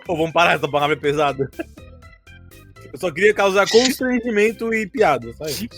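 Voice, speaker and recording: A Brazilian man chatting casually on a podcast as he recaps a tabletop game, joking and quick to laugh. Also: high-pitched, lively intonation, a bit bright, soft background music. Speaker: game master of a tabletop RPG campaign, podcast member.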